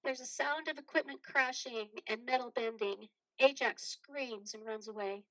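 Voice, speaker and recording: A woman's voice: a little high, moderate pace, bright, forceful tone, no echo, poor audio. A woman reading stage directions as the narrator of a scripted play.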